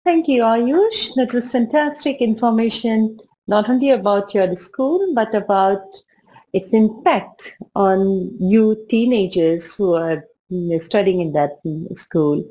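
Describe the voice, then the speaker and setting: A woman moderates a school video conference with a mellow, rounded tone. She speaks encouragingly to the students as she passes the floor from one group to the next. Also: mid-range voice, slow, poor audio.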